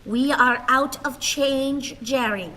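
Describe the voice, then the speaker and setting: A woman playing a frail, emotional old Irish wife in a radio play, speaking in a plaintive, halting way. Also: sits high, bright, forceful tone, exaggerated voice. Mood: deliberate. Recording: reverberant hall, hissy rain-like background noise.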